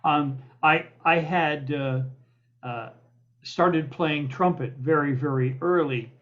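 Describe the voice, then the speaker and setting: Guy host, kinda high voice, keeps repeating himself w uhs, dull lo-fi sound. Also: fairly bright tone. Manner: halting, repeats words and fills with uh. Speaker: podcast host and interviewer. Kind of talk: friendly interview questions. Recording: muffled lo-fi audio.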